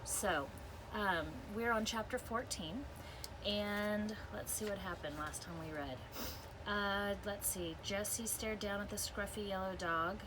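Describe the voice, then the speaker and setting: Woman reading aloud, clear background noise. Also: medium pitch, unhurried. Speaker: teacher reading aloud to students. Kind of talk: expressive children's story read-aloud. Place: inside an RV parked in a backyard.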